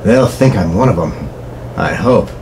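A man's voice: fairly low pitch, animated, a little slow. A man voicing a brave, desperate pulp hero in earnest, melodramatic radio horror style. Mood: urgent, determined. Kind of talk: old-time radio horror acting. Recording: clearly noisy recording.

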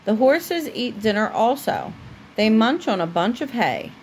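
Woman, storybook read-aloud, slow pace, deliberate pauses, motherly bedtime reading, light background noise. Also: a little high, very animated.

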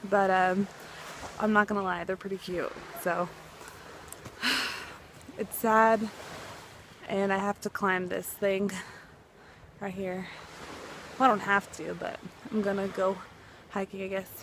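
A woman's voice: medium pitch, little pitch movement, takes it slowly, pauses often, fairly bright tone, slight background noise. A young woman in her twenties filming a casual travel vlog with a handheld camera, talking in a chatty, upbeat way on a beach and a boat. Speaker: travel vlogger. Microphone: camera held at arm's length.

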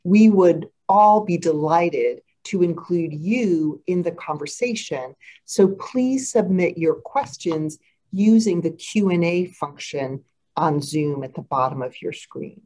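A woman's voice: fairly low pitch, slow pace, warm tone, rough recording. An American woman giving formal opening remarks over a video call, poised and scripted. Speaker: introductory speaker.

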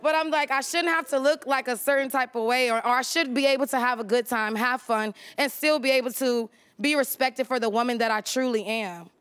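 A woman's voice: high voice, brisk, hardly any pauses, a bit bright, clean tone. An American woman in her thirties, speaking earnestly and emphatically as an audience member asking for advice. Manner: emphatic, repeats phrases for stress. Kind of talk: earnest personal question on a talk show. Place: TV studio audience. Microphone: microphone held in front of her.

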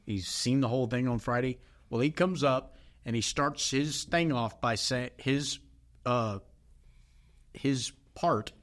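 Middle-aged male pastor, podcast co-host, animated and emphatic storyteller. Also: mid-range voice, slow pace. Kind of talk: casual chat.

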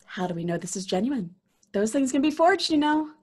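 A high, husky, hot-tempered young sister. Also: female voice, very animated, brisk, darkish tone, full character voice. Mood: incredulous. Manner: exasperated, demanding. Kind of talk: audio horror drama acting. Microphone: video call.

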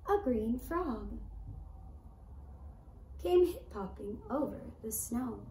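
Slow, high-voiced woman storyteller in echoey room. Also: animated, dark tone. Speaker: puppet show storyteller. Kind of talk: scripted children's story narration. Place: indoor puppet stage in a decorated room. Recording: roomy echo, clear background noise.

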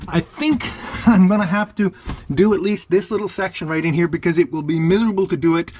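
A man in his forties talks to a close handheld camera, chatting and thinking aloud as he works. His voice sits a little high for a man. Clear background noise runs behind him. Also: fairly quick, a little mellow. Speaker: vlogger. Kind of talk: casual how-to vlog. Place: inside a wooden boat, outdoors at a marina, in a truck. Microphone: handheld action camera, close.

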